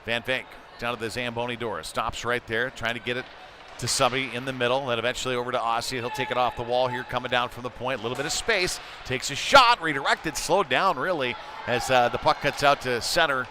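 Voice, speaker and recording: A man's voice: medium pitch, a little fast. Male hockey commentator over noisy rink sound. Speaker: play-by-play commentator. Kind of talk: live ice hockey commentary. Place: indoor ice rink. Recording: noisy rink ambience behind voice.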